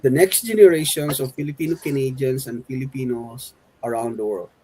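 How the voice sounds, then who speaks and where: Male radio co-host, medium pitch; jovial and rambling, quick to joke. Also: darkish tone, slight background noise, low-quality recording. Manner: jovial, chatty, laughing, rambling. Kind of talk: radio interview conversation.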